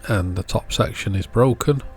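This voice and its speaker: Low-pitched man talking through repairs. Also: normal speed, husky, soft background music. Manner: unscripted, thinking aloud as he works. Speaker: host of an electronics repair channel. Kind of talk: casual hands-on repair commentary.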